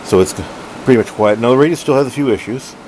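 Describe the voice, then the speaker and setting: A middle-aged man giving a casual, unscripted hobbyist demo at home, halting and rambling as he corrects himself. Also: mid-range voice. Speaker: hobbyist collector reviewing a radio. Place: room at home, indoors. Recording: noisy room with steady background hum.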